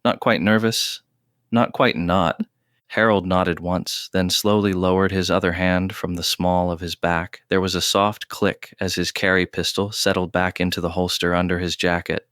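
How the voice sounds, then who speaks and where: A man narrating a scripted audio drama in a measured, terse storytelling manner, recorded close and dry. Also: deep voice, little pitch movement, fairly bright tone, dry recording.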